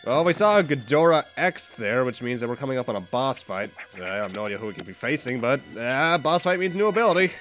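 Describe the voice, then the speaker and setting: A man's voice: mid-range voice, sing-song, quick pace, hardly any pauses, fairly bright tone. A young man with a stuffy nose giving chatty, casual commentary on a game as he plays, now and then correcting himself. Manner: chatty, self-correcting, occasional laughs. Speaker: let's play host. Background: game music.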